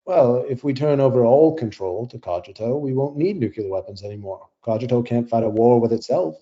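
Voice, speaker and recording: A man's voice: medium pitch, animated, a little fast, dark tone, lo-fi sound, lightly acted. A man playing a polite, confident AI company spokesman in an audio play. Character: smooth-mannered, confident male AI company spokesman.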